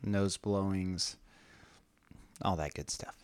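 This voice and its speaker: A man's voice: deep voice, slow pace, clear, resonant tone. A man co-hosting a casual podcast, a teacher chatting and laughing as he tells stories. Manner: laughing, animated storytelling. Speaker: podcast co-host. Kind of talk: casual chat.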